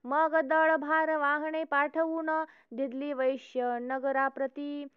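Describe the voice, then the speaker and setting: A woman reciting old devotional verse in a steady, measured chanting manner. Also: high voice, dry recording. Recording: tinny phone-like sound.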